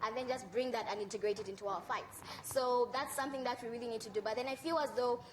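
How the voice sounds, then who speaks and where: Fast-talking young Zambian woman, higher voice. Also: fairly even intonation, poor audio. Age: young adult. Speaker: youth activist panelist. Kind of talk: panel discussion, articulate opinion. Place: live conference panel. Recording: noticeable background noise.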